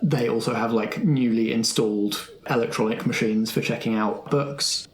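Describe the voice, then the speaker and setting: A man's voice: medium pitch, steady tone, moderate pace. Echoey-sounding man running a roleplay game. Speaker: game master. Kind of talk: narrating and prompting in a tabletop roleplay. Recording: echoey room, faint background noise.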